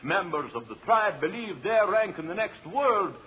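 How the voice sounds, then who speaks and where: An actor playing a pedantic anthropologist giving a formal, declamatory lecture in an old radio drama. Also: male voice, sits high, big pitch swings, normal speed, husky, heavily acted. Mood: pedantic lecturing. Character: pedantic male anthropologist lecturing on savage tribes. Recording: muffled, noisy old radio broadcast.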